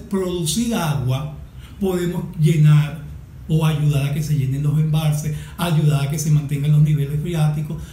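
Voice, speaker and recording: A man's voice: fairly high pitch, mellow. A man with a Venezuelan Spanish accent giving emphatic, anecdotal opinions as an interview guest. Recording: echoey room, faint noise.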